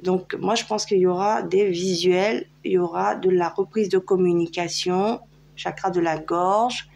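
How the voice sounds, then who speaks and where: A woman's voice: on the low side, steady tone, a little slow, creaky, a little mellow, a little hiss or hum. Chatty French woman reading tarot. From France. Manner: chatty, hesitant, many fillers. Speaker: tarot card reader. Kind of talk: casual tarot guidance video.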